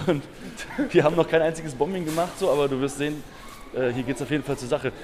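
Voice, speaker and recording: A man guesting on a vlog outdoors under a bridge, talking in a relaxed, slangy, rambling way. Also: a little high, brisk, crowd noise. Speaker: graffiti artist guest. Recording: outdoor noise behind.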